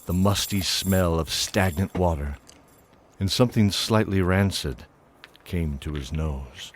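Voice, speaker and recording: Low-voiced male fantasy narrator. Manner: measured, descriptive storytelling. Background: faint music.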